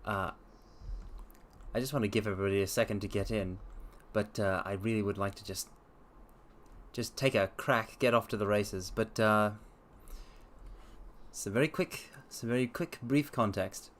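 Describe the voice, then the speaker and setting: A man's voice: on the low side, steady tone, moderate pace, pauses often, a bit bright, slight background noise. A man hosting a livestream and reading aloud in a theatrical manner, with formal, old-fashioned phrasing. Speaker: livestream host and story reader. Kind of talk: livestream chat and dramatic reading.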